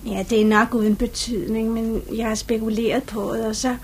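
A woman's voice: fairly high pitch, flat delivery, a little hiss or hum, lightly acted. An actress playing an earnest young bakery shopgirl as a witness in a 1950s radio drama, speaking politely and hesitantly.

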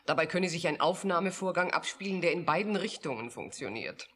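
A woman's voice: fairly low pitch, animated, fairly quick, fairly bright tone, slight background noise. An actress in a German radio drama playing a proud, defensive female scientist, self-assured and dismissive. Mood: cool, explaining.

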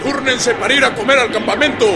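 An actor playing an excitable rebel fighter with a Mexican accent, shouting through a battle in a radio drama. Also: male voice, extremely high pitch, fast talker. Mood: urgent. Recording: loud battle noise and shouting crowd.

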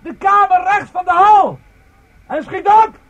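A woman's voice: extremely high pitch, measured pace. An actress playing a frightened trapped woman in a radio drama, calling urgently for rescue in short bursts. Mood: urgent, desperate. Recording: dull, band-limited radio recording.

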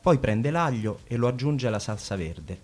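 A man's voice: medium pitch, lively intonation, normal speed, soft background music. A man narrating the stage directions of a radio play in a plain, neutral reading.

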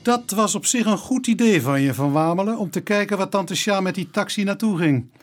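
An actor playing a sceptical, businesslike police inspector in a radio drama, with a dry, formal way of reasoning. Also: male voice, sits high, fairly quick, soft background music, natural voice.

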